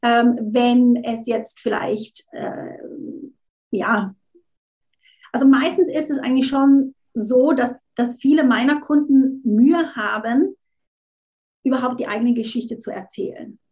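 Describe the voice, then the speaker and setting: A woman interview guest, a coach, talking casually, often restarting words and slipping in English phrases. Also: on the high side. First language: not German, unsure of her German.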